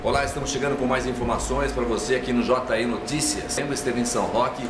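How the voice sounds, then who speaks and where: A man reads a short local news introduction in a formal announcer's manner. Loud music plays under his voice, and the sound has some echo. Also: medium pitch, steady tone, fast talker, far from the mic. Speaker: news presenter. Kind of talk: scripted news voice-over.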